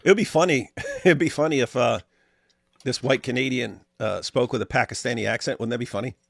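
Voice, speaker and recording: A man's voice: mid-range voice, fairly quick, gravelly, breathy edge, dry recording, clean studio sound. A man co-hosting a casual talk show, joking and repeating his lines for effect. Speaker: co-host. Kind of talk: casual reaction chat.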